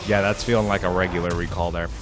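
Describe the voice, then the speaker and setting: A man's voice: deep voice, level tone, fast, music in the background. A man giving casual, off-the-cuff reaction commentary as a video creator, thinking aloud in a conversational way.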